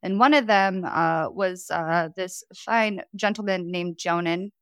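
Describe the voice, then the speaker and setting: A woman from Chicago talks through her story as a guest on a podcast. She is chatty and relaxed, with plenty of fillers and small laughs. Her voice sits a little low, and the recording is dry and close. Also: slow, clean tone, no echo. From Chicago, United States. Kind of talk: casual storytelling interview.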